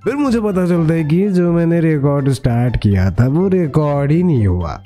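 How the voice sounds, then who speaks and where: A man narrating a gaming vlog voice-over, telling his story with drawn-out emphasis. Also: a little high, animated, dark tone, faint music behind. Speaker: gaming video creator. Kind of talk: scripted vlog voice-over narration, Hindi mixed with English words.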